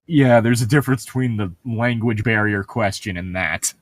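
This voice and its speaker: A man's voice: on the low side, moderate pace, no echo. A man co-hosting a casual read-aloud, throwing in sarcastic asides and laughing often. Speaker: co-host of a humorous read-aloud commentary.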